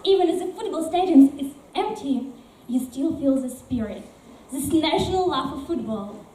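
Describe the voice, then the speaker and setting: A woman's voice: sits high, expressive, moderate pace, dark tone. A woman playing a newcomer from Russia who talks eagerly about her new home in an amateur stage performance. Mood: enthusiastic. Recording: echoey room from far off, faint noise.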